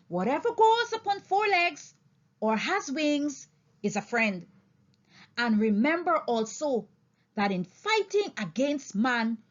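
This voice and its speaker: Casting: woman as old boar orator for a storybook, high voice, slow solemn emphatic delivery, dull lo-fi recording. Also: full character voice. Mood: stern, commanding. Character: old male boar, solemn rousing orator to fellow animals. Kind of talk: audiobook character speech and song.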